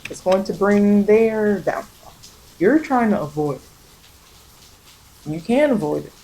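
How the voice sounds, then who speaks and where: An American woman giving soft-spoken ASMR spiritual guidance as a tarot reader, meandering and repeating phrases to reassure. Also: medium pitch, lively intonation, slow, slight background noise, low-quality recording.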